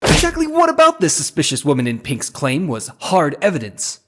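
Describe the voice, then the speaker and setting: An actor playing an earnest, uncertain but determined defense lawyer with a dramatic manner in a video game dub. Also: male voice, on the high side, wildly swooping pitch, brisk, long reverb tail, background noise. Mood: assertive. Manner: dramatic, alternating asides and courtroom declarations.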